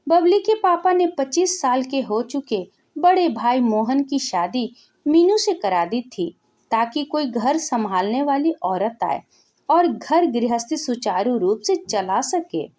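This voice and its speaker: A woman's voice: sits high, very animated, slow pace, a little mellow. A woman storyteller reading a scripted narration of a family story.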